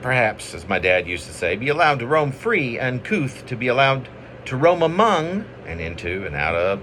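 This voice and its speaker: Male poet, informal reader, lowish voice with big melodic swings, rambling and self-mocking, clearly noisy recording. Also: pushed and bright. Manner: self-deprecating, rambling, playfully dramatic. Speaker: poet reading own work. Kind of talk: informal poetry reading with chatty asides.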